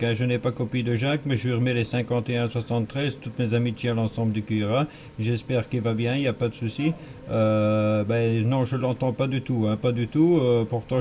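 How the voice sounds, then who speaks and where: A man's voice: medium pitch, a little mellow. A man talking casually as an amateur radio operator over two-way radio, matter-of-fact and repeating his phrases. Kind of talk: casual radio contact chat. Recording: slightly noisy radio transmission.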